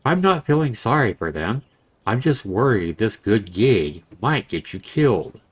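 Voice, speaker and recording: A man's voice: on the low side, sing-song, unhurried, breathy edge, heavily acted. Man reading as a grumbling, worried adventurer friend. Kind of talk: visual novel character reading.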